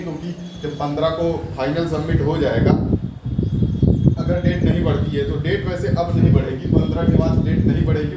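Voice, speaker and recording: A man explaining exam application numbers and competition to students, repeating figures and reassuring them. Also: fairly high pitch. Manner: reads out numbers digit by digit, reassuring and repetitive. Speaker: exam coaching YouTuber. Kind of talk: explaining exam form statistics. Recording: echoey distant mic with loud noise.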